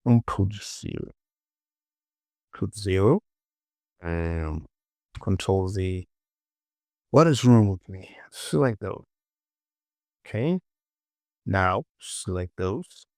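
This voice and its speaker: A man giving an informal software tutorial, muttering short clipped instructions to himself and often repeating words. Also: sits low, lively intonation, drawn-out words, pauses often, dry recording, clean studio sound. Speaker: 3D modeling tutorial presenter.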